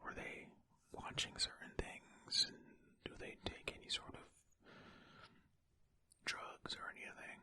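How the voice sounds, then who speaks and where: Casting: ASMR storyteller, fully whispered, very sharp airy tone, informal and unhurried, close to the mic. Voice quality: breathy, unvoiced whisper. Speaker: ASMR host reading and commenting on stories. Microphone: close ear-to-ear ASMR microphone.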